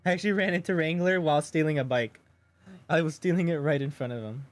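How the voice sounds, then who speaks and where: Male streamer, deep voice, chatty and jokey, faint music underneath. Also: fast talker, no room sound. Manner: chatty, joking, reads viewer messages aloud. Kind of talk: casual chat with stream viewers.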